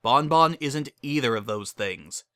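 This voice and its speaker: A man's voice: medium pitch, lively intonation, measured pace, a bit bright, dry recording, studio quality. A man voicing a worried, practical male pony baker with a cautioning, exasperated manner.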